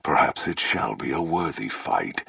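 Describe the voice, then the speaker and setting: Towering game warlord: extremely low, husky rumble, grim and proud, commanding, with big dramatic swings in intonation. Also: male voice, sing-song, unhurried, bright, forceful tone, no room sound, heavily acted. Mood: brooding. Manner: solemn, commanding, formal and portentous speech. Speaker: video game voice actor. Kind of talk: theatrical video game villain acting.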